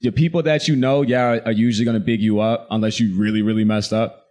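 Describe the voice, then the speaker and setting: A man's voice: fairly low pitch, steady tone, fast, mellow. A man, a hip hop artist talking as a guest in a casual interview, confident and blunt. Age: 30s. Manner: confident, blunt, streetwise, laughs easily.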